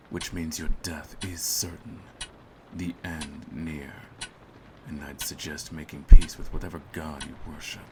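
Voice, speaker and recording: A cold, smug hitman, acted by a man for an audio roleplay. His voice is extremely deep with a gravelly creak, and he phrases things slowly and theatrically, full of menace. Clear noise runs behind the recording. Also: exaggerated voice. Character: cold, smug male hitman holding his target at gunpoint. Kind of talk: scripted ASMR audio roleplay.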